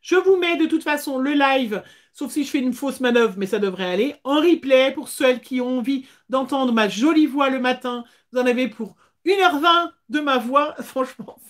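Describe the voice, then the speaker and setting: A woman, chatting casually as the host of a craft livestream, with hesitant pauses. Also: high voice. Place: small indoor craft room.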